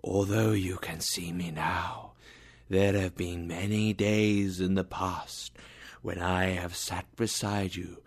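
A mournful ghost of a dead old businessman, dragging his chains and speaking in a hushed, breathy whisper, as a storyteller puts him on. The voice sits low and drawn out, grave and full of regret. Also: little pitch movement, exaggerated voice. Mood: warning. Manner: slow, grave.

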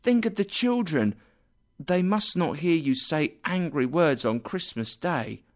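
A mild, loving clerk and father in a storybook, pitched a little higher, as a man voices him. His tone stays soft and kindly. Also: expressive, a little slow. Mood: gentle. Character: gentle poor male clerk, loving father.

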